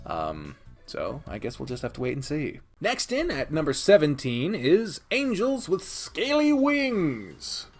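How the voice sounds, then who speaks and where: A man's voice: fairly high pitch, huge pitch swings, measured pace, soft background music. A man giving a casual, opinionated countdown review as a video host, partly scripted, with a blunt, sweary manner. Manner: sweary, blunt, chuckling asides.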